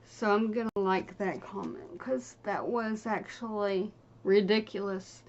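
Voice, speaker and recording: Female casual vlogger, unhurried halting delivery in short phrases, dull lo-fi audio. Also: mid-range voice, a little mellow, slight background noise. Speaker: video creator. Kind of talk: casual vlog, reading out viewer comments.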